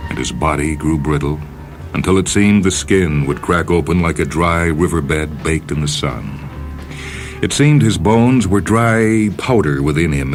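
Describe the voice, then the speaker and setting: A man reading a science fiction story as narrator, in a solemn, measured dramatic style. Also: a bass voice. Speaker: narrator, short story reader. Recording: music under the voice. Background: music.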